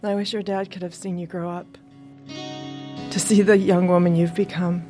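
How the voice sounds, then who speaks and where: A woman's voice: fairly low pitch, little pitch movement, moderate pace, warm tone, faint music behind, close to an everyday voice. A woman playing a middle-aged grieving mother in an audio drama, subdued and tender. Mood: tender grief. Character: hollow, grieving mother in a post-apocalyptic world.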